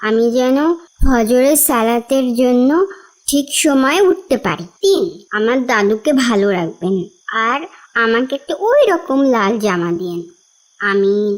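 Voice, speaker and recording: A woman's voice: a little high, measured pace, close to an everyday voice. A woman voicing a sweet, devout, poor little orphan girl in a cartoon. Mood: earnest, prayerful. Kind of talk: cartoon dialogue.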